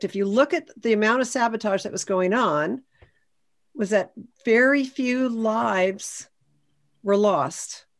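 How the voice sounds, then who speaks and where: A woman speaking as a historian on a video call panel, explaining history in measured, deliberate phrases. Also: medium pitch. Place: indoor room, on a video call. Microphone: video call microphone.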